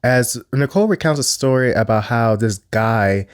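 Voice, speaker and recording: A man's voice: mid-range voice, moderate pace, clean tone, dry recording, clean studio sound. A congested man getting over a cold, chatting bluntly and casually as he recaps a reality show. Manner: chatty, blunt, a little crude. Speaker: YouTube commentator. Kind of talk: casual reaction commentary.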